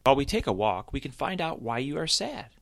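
A perky, ever-smiling male bunny, put on by a man reading a storybook aloud. He pushes his voice up high and keeps it bouncing, with a crisp, bright edge, always coaxing and upbeat. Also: sing-song, brisk, a little hiss or hum. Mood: encouraging. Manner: eager, encouraging, bouncy.

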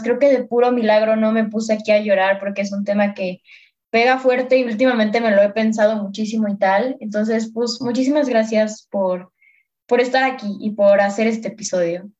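A woman's voice: fairly high pitch, steady tone, normal speed, darkish tone. Young woman hosting a chill podcast, friendly rambling talk, kinda muffled lo-fi audio. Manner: friendly, rambling, laid-back. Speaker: podcast host. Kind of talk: casual chat. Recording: slightly dull lo-fi podcast audio.

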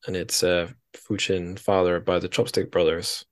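A man's voice: sits low, level tone, normal speed, no room sound, studio quality. A man hosting a podcast in casual conversation, informal and a little self-deprecating. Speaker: podcast host.

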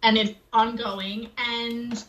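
A woman's voice: fairly high pitch, level tone, takes it slowly, a bit bright, echoey room, lo-fi sound. A woman co-hosting a podcast from home, chatting in a laid-back, blunt, self-deprecating way. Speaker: podcast co-host. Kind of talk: casual chat.

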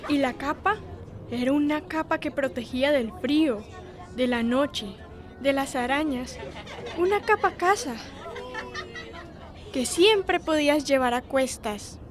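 A woman's voice: high voice, unhurried, pauses often, a crowd in the background. A woman playing a young migrant girl in Spanish-language radio theatre. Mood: dreamy storytelling. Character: Lupita, pleading little migrant girl telling stories.

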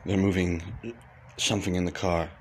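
An actor playing a frightened fugitive private eye who stammers and talks in hushed tones. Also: male voice, low voice, little pitch movement, moderate pace, slight background noise, natural voice. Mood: urgent. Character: scared fugitive male detective, paranoid.

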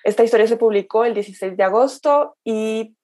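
A woman's voice: on the high side, brisk, mellow. A woman hosting a podcast, introducing the episode and asking her guest questions in a conversational way, with some restarts. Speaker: podcast host.

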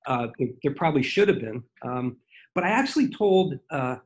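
A man's voice: mid-range voice, lively intonation, moderate pace, background noise. A man in his sixties, a podcast guest, telling self-deprecating stories in casual chat, with many fillers and restarts. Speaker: guest, franchise consultant. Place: home.

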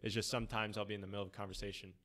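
A man's voice: on the low side, level tone, racing, a bit bright. Easygoing young male podcast host. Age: young adult. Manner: easygoing, teasing interviewer. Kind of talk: casual chat.

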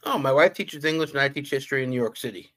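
A man's voice: medium pitch, fast talker, bright, forceful tone. Man asking brief interview questions. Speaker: podcast host and history teacher.

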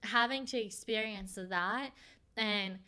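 A young woman with Farsi as her first language talks openly on her podcast, pausing often and searching for words. Her voice is bright and crisp. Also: medium pitch. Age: young adult. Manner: reflective, open, halting with fillers. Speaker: podcast host. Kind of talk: casual heart-to-heart chat.

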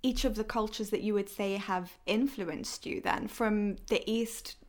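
A woman's voice: mid-range voice, steady tone, a little slow, a little mellow. Woman podcast host, conversational. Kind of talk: conversational podcast hosting and interviewing.

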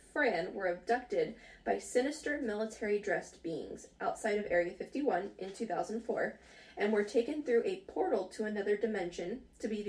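A woman's voice: mid-range voice, darkish tone, recorded from a distance, poor audio. Giggly woman podcast host. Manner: giggly, swears casually. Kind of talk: casual chat, reading out stories.

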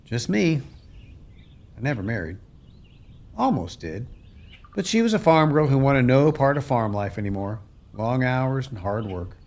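A man's voice: mid-range voice, sing-song, a little slow, long pauses, a little mellow. A man playing a weary, plainspoken American farmer who has lost his family land, in a folksy radio play style. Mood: rueful.

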